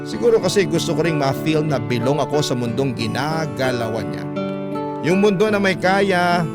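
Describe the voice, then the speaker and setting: Filipino storyteller man over loud guitar music. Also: fairly high pitch, lively intonation, moderate pace. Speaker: radio storyteller host. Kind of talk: emotional scripted narration of a letter.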